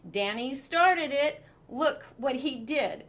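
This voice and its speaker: A woman reads as a bossy schoolboy from a children's storybook. He is scornful and whiny, a bickering big brother who snaps at his sibling, pitched above plain narration. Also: expressive, fairly bright tone, heavily acted. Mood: angry whining. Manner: scornful, whining, quick to snap.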